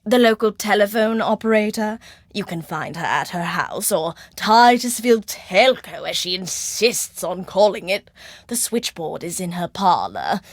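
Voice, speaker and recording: An actress playing a snobbish, imperious society hostess who orders people around. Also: female voice, medium pitch, lively intonation, measured pace, no echo, clean studio sound. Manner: imperious, condescending.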